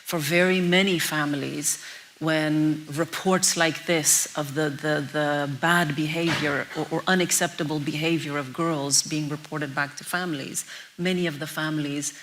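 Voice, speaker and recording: A woman's voice: deep voice, steady tone, normal speed, a bit bright. A young woman from Norway, a documentary filmmaker, answering interview questions in an earnest, reflective way with frequent restarts. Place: event venue. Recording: faint hiss.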